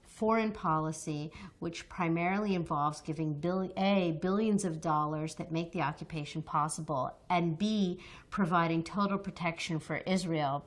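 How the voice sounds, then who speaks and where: An American woman with a somewhat low voice, answering interview questions at length. She speaks with earnest, emphatic conviction and often repeats a word as she restarts a thought. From United States. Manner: passionate, emphatic, repeats words when restarting. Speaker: interview guest, activist.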